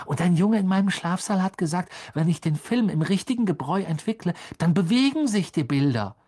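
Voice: medium pitch, lively intonation, a little fast, mellow, pitched above the performer's own voice, natural voice. A reader voicing an eager, starstruck young schoolboy in a storybook, gushing and begging. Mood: eager, pleading.

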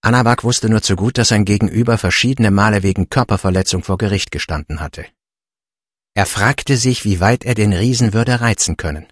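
A man's voice: lowish voice, brisk, no room sound, studio quality. A man narrating an audiobook in a scripted storytelling style.

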